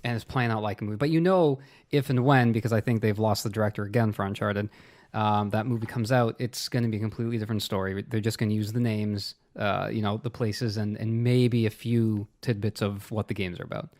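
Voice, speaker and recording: A man's voice: on the low side, steady tone, fairly quick, darkish tone. A man co-hosting a casual discussion of films and games, debating in a conversational style. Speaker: podcast co-host. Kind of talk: casual film and gaming debate.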